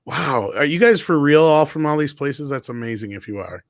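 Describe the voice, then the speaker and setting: A man's voice: fairly high pitch, lively intonation, moderate pace, no echo. Casual, sweary man with a dry, close sound. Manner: informal, sweary, rambling. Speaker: co-host of an online panel show. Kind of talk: casual livestream chat, reading viewer comments.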